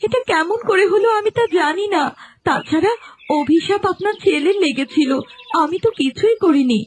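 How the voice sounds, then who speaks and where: Woman acting young mom in a cartoon story, really high voice, earnest n pleading. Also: animated, normal speed, slight background noise. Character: pleading young wife and new mother. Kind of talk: animated folk story dialogue.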